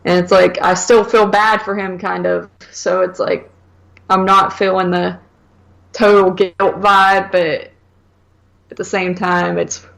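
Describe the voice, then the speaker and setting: A woman's voice: on the low side, level tone, takes it slowly, creaky, a bit bright. A woman co-hosting a podcast in casual chat, hesitant and noncommittal, peppering her sentences with "like". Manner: hesitant, hedging, heavy use of "like". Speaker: podcast co-host.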